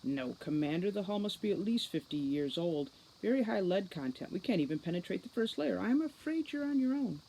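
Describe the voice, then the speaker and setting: A woman's voice: medium pitch, lively intonation, a little fast, few pauses, warm tone, a little hiss or hum, voice pushed up, lightly acted, low-quality recording. A woman reading a cheeky, smug male centaur tech expert in a storybook, glib and flippant in manner. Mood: matter-of-fact.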